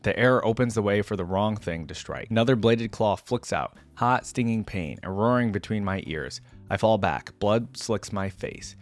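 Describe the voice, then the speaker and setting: A man hosting a reaction video, reading a dramatic story aloud and adding casual commentary. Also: on the low side.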